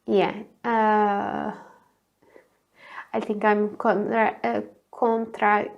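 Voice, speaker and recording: A woman with a thin, girly voice, hoarse from a cold, chatting alone on camera and often searching for words. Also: mid-range voice, monotone, very slow, highs cut off. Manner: hesitant, searching for words, frequent fillers. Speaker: vlogger. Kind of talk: casual solo chat.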